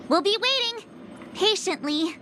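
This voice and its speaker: A woman voicing a perky, playful young girl adventurer in a video game, expressive and bubbly. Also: very high-pitched, measured pace, noisy background. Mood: upbeat, curious. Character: perky, upbeat young girl adventurer, teasing sidekick banter. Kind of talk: English video game voice acting.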